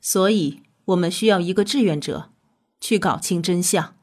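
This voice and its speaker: An actress playing a composed, authoritative scientist in an audio drama, explaining in a measured, instructive way. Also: female voice, on the low side, darkish tone, natural voice. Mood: grave, intent. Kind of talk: scripted audio drama dialogue.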